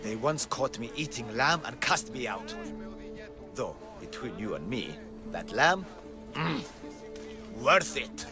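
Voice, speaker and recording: An actor playing an eccentric, grandiose ancient Greek philosopher who believes himself a god, speaking with dramatic pauses in a video game. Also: male voice, mid-range voice, sing-song, over music. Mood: rapturous, playful. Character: eccentric self-proclaimed god, a theatrical male Greek philosopher. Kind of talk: video game character acting.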